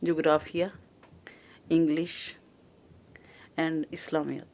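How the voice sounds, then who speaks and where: An older woman from Pakistan, a native Urdu speaker, a guest speaking halting English with a Pakistani accent and many restarts. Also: low voice, flat delivery, a little mellow.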